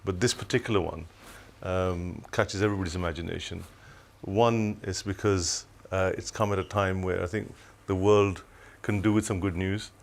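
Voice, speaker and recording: Older man from Tanzania, very low voice, reflective studio guest, halting and full of restarts. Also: steady tone, creaky. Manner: reflective, hesitant, many restarts. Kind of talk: telethon studio interview.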